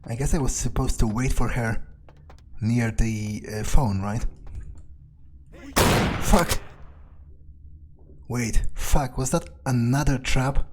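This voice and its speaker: A man's voice: medium pitch, slow, pauses often, background noise. A man doing in-character video game commentary, thinking aloud in a blunt, muttering, profane way. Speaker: video game player role-playing his character. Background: game music.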